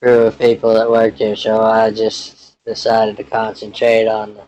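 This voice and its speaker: A man playing a laid-back young Texan rancher in an improvised phone call, talking in a halting, folksy, rambling way. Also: lowish voice, monotone, darkish tone, slight background noise, lightly acted. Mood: easygoing, rambling.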